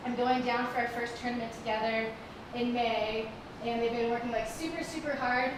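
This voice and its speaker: A woman gives a chatty, filler-heavy talk from a stage, her voice sitting a bit high. She sounds far from the mic in a very echoey hall. Clear background noise runs under her. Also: fairly even intonation, moderate pace. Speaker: guest presenter. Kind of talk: casual live talk to an audience. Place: stage in a performing arts hall. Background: audible background noise.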